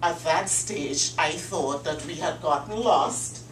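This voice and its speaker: A woman giving a prepared humorous speech at a club meeting, telling her story with deliberate, dramatic pauses. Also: low voice. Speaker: Toastmasters club speaker. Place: meeting room. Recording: echoey room, distant mic, faint hum.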